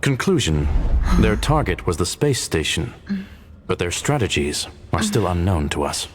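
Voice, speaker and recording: An actor playing a formal, analytical and patient male advisor in a video game, with clipped, deliberate phrasing. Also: low-pitched, big pitch swings, breathy edge, heavily acted. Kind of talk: video game cutscene voice acting.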